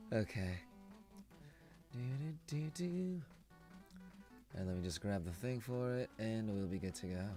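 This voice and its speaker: A man streaming live, casually chatting through a showcase, his voice hoarse from just waking up and his talk rambling with frequent laughs. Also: lowish voice, lively intonation, slow pace, pauses often, faint music behind. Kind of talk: casual live-stream watch-along chat.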